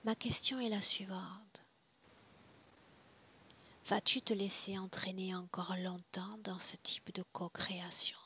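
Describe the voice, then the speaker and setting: Slow, hushed woman with a creaky voice. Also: mid-range voice, level tone, a little hiss or hum. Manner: hushed, breathy, deliberate, commanding. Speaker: spiritual hypnosis session guide. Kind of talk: guided trance session, slow solemn address.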